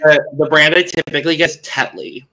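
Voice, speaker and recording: A man's voice: a little high, fairly even intonation, a little fast, sharp tone, low-quality recording. A man co-hosting a casual podcast, talking in a rambling, hesitant way with dry humor. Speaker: podcast co-host.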